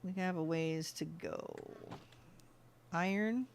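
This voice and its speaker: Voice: mid-range voice, takes it slowly, slight background noise. A gaming channel host giving casual let's-play commentary, chatting to themselves and thinking aloud as they play.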